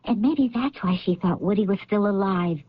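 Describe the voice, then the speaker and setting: Actress as higher-voiced, candid executive assistant. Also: female voice, normal speed, husky, no echo, close to an everyday voice. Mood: candid, rueful. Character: candid, rueful female executive assistant being questioned. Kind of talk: radio drama acting. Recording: dull old radio recording.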